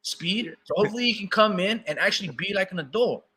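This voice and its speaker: Male podcast co-host, slightly higher voice, bright, husky, laid-back arguing. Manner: talks in quick interruptions, says "bro" and "hold on" a lot. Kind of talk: casual heated debate.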